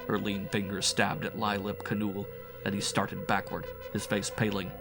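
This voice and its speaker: A man's voice: on the low side, completely flat delivery, moderate pace. A man narrating a horror audiobook in a theatrical, brooding, old-fashioned storytelling manner. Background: music.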